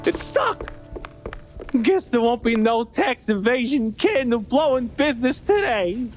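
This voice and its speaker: A woman voicing an excitable, hapless mouse underling in a cartoon game, animated and exclamatory. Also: on the high side, a little slow. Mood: panicked. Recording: muffled, music behind.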